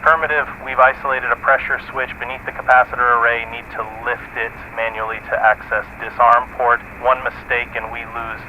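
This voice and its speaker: A man's voice: little pitch movement, brisk, hardly any pauses, highs cut off, natural voice. A man playing a focused bomb technician in a military radio drama, clipped and procedural. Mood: focused, tense. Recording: noisy radio with engine-like rumble.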